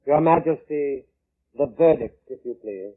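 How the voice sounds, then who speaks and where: Man playing slow, sinister, courteous coroner. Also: mid-range voice, natural voice. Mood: coolly formal. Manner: formal, measured, ominously polite. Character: sinister courteous coroner who claims the dead. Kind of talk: 1940s radio acting. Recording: tinny muffled vintage radio recording.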